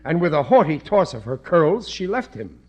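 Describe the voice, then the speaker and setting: A man's voice: fairly high pitch, big pitch swings, normal speed, darkish tone, slightly rough. A man playing a blustery, suspicious working-class father in a 1950s radio comedy, talking in a colloquial, earnestly dim-witted way. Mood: earnest reading aloud.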